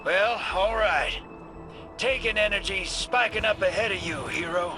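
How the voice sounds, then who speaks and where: An actor playing a sly, folksy rogue in a video game, with a drawling, wisecracking delivery. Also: male voice, sits high, a little slow. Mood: wry, casual. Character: folksy, sly male rogue guiding a hero. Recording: game dialogue under loud music.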